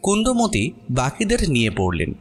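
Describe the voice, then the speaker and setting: A man's voice: a little high, huge pitch swings, moderate pace, faint music behind. A man narrating a horror story expressively from a script.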